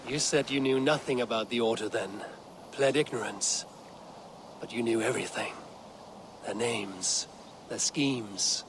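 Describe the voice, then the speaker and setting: An actor playing a shrewd Norse warrior leader in a video game, talking in a dry, wry and pointed way. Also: male voice, mid-range voice, measured pace, pauses often, noisy background, lightly acted. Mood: probing. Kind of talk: video game cutscene acting.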